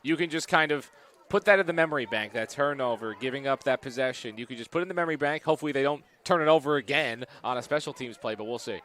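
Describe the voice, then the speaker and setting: A man's voice: medium pitch, fast, fairly bright tone, slight background noise. A man giving easygoing, chatty color commentary on a live football game. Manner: easygoing, chatty analysis with filler phrases.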